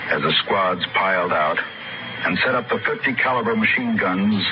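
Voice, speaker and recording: An actor playing a somber survivor who narrates a grim march with grave, dramatic phrasing in an old-time radio drama. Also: male voice, lowish voice, moderate pace, heavy background noise, close to an everyday voice. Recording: muffled vintage radio broadcast. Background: loud dramatic music.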